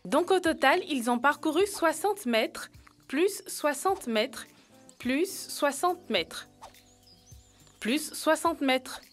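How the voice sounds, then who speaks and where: A woman's voice: sits high, expressive, a bit bright, soft background music, heavily acted. A woman voicing a clever, encouraging young child in an educational cartoon, reasoning step by step. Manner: deliberate, step-by-step.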